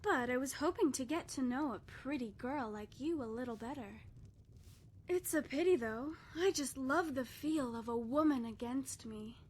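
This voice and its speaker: A woman's voice: high voice, a little mellow, slight background noise, close to an everyday voice. A woman playing a flirtatious, sly tavern courtesan in a fantasy game, teasing and coy. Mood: seductive. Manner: teasing, coy, sultry drawn-out endearments. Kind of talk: scripted game character dialogue.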